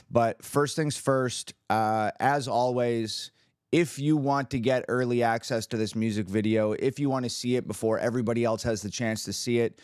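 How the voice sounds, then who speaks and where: A man hosting his own livestream, a musician chatting casually with viewers, upbeat and hyped, his voice a little raspy from screaming the night before. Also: mid-range voice, dry recording, clean studio sound. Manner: upbeat, hyped, talks to the chat.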